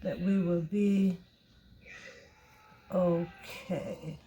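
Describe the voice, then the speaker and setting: A woman with a tired, raspy voice, talking casually through a soap-making tutorial and thinking aloud as she weighs ingredients. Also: lowish voice, fairly even intonation, warm tone, slight background noise. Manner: slow, chatty, thinking aloud while weighing. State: tired voice, clearing throat. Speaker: soap maker.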